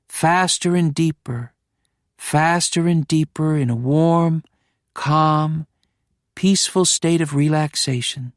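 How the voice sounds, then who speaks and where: A man voicing a calm female hypnotist who speaks in a slow, soothing, hypnotic way. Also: on the high side, no room sound, clean studio sound, pitched above the performer's own voice. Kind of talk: hypnotic induction.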